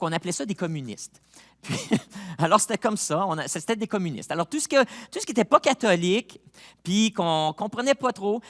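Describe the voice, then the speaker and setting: Québécois pastor guy preaching, voice a bit high, gets loud and climbing on the big lists. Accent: Québécois French. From Quebec. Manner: builds from quiet reflection to loud, rising appeals; chatty asides with fillers and laughs. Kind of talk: sermon.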